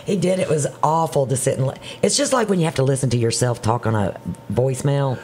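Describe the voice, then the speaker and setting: Middle-aged woman co-host, gravelly, chatty and self-deprecating, faint room noise behind. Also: a bass voice, expressive, normal speed, darkish tone. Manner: chatty, self-deprecating, trails off mid-sentence. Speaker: morning show co-host. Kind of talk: casual chat. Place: small living-room style studio set, indoors.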